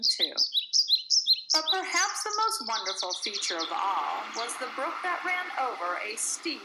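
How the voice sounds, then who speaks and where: A woman reads a children's rhyming story with dramatic, sing-song expression. Her voice sits somewhat high and moves around a great deal in pitch. There is clear background noise on the recording. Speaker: storybook reader. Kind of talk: expressive read-aloud of a rhyming children's picture book.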